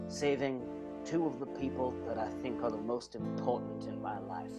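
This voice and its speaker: A man playing an earnest, sentimental vaudeville bruiser in an improvised radio play, speaking solemnly and haltingly. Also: medium pitch, natural voice. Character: earnest vaudeville performer and bare-knuckle puncher, sentimental. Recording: loud music under the voice.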